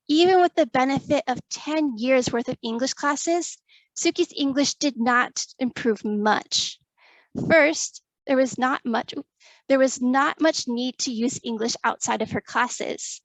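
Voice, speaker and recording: A young adult woman, a graduate student, presenting her research in a careful, measured read with a few restarts. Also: high-pitched, no echo, rough recording. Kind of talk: reading a prepared research talk.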